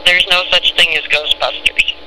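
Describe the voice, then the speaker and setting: Female restaurant employee on a noisy phone line: very bright, forceful tone, short polite patient answers. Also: lowish voice, steady tone, normal speed. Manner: brief, matter-of-fact, patient replies. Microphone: telephone. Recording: phone line with background noise.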